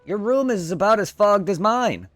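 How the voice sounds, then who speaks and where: A man's voice: high voice, lively intonation, moderate pace, a bit bright, dry recording, faint music behind. Chatty man streaming a game. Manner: chatty, swears freely, thanks viewers. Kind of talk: casual live-stream chat.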